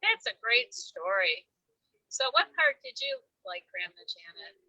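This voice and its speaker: A woman leads a casual book chat with a very bright, forceful voice that swoops widely up and down. She sits on the high side, with some creak in it, and acts out bits of the story as she goes. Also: huge pitch swings, measured pace, no room sound, dull audio. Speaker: host, discussion leader.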